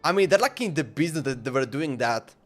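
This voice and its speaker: A man's voice: a little high, expressive, quick pace, faint music behind. A man, reacting casually to a game and musing aloud. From Slovenia. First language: Slovenian. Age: 20s. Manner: musing aloud, speculative, repetitive. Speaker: game livestreamer. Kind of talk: casual live reaction commentary.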